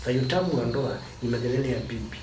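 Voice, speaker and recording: A man's voice: medium pitch, animated. Kenyan man teaching with echoey distant sound. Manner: emphatic, drawn-out stresses on key words. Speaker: marriage advice speaker. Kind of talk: teaching monologue. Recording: roomy echo from a distance, noisy.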